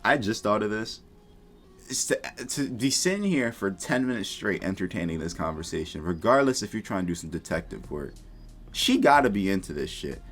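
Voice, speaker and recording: A man's voice: fairly low pitch, very animated, fairly quick, fairly bright tone. A man giving casual reaction commentary in a blunt, slangy, profane way. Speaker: YouTube commentator. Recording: music behind the voice. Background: background music.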